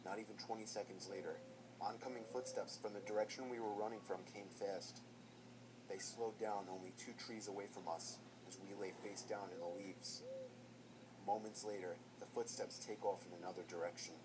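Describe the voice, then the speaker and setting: A man telling a first-person horror story in a measured, tense scripted narration. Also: lowish voice, fairly even intonation, background noise.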